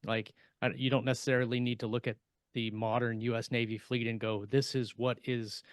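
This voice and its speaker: A man speaks casually as a podcast panelist, explaining as he thinks aloud. His pitch sits in the middle, and the sound is very dry and close. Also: flat delivery, fairly quick, dry recording. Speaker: podcast panelist and sci-fi author.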